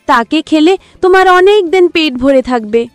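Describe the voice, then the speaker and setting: A woman puts on a timid little billy goat from a kids' cartoon. The voice is very high and dry, politely begging and wheedling to be spared. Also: animated, normal speed, no echo, soft background music. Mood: pleading. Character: small, timid young male goat pleading for his life. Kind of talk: children's cartoon animal acting.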